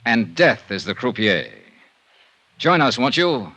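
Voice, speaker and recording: An actor playing a tough, world-weary American investigator in a clipped, dramatic 1950s radio detective style. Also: male voice, medium pitch, sing-song, slow, noisy background. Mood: brisk narration. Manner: clipped, hard-boiled, dramatic. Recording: vintage radio recording with music.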